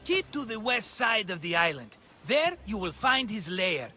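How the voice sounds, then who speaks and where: An actor playing a scheming, flattering, tight-fisted merchant in a video game, with a theatrical, wheedling manner. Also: male voice, sits high, moderate pace, faint music behind, exaggerated voice. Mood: scheming, eager. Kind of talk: video game cutscene acting.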